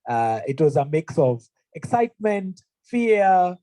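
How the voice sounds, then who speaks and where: A man's voice: high voice, big pitch swings, slow, dark tone, no echo. A young man from Kenya giving an earnest, conversational presentation to prospective students, with frequent fillers. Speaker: university representative. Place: room presentation, also streamed online.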